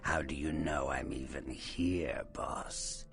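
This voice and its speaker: An actor playing a taunting, hideous vampire in a video game, theatrical and sly, often sinking into a breathy whisper. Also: male voice, extremely low pitch, steady tone, takes it slowly, a bit bright, exaggerated voice. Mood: sly, menacing. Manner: theatrical, sly, mocking, calls listener boss. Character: taunting, hideous male vampire lurking in shadows. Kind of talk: video game villain acting. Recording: music behind the voice.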